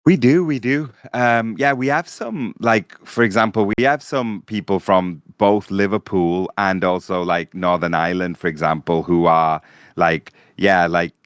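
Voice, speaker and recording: A man appearing as a podcast guest, talking in a relaxed, casual way and peppering his speech with "like". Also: deep voice, a little slow, clear, resonant tone, no room sound, clean studio sound. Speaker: podcast guest, fan club member.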